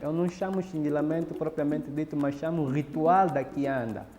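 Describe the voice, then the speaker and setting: A man with an Angolan Portuguese accent explains at a measured pace, often pausing with fillers. His voice is fairly high for a man and dark in tone. It carries a long room reverb over faint background noise. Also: steady tone. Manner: measured, pauses with fillers and restarts. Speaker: interviewee explaining a ritual. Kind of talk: explanatory documentary interview.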